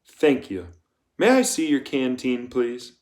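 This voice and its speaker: A man voicing a menacing female camp warden in an audiobook, coldly polite and commanding, speaking softly and deliberately. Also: medium pitch, huge pitch swings. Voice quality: soft. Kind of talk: audiobook character voice.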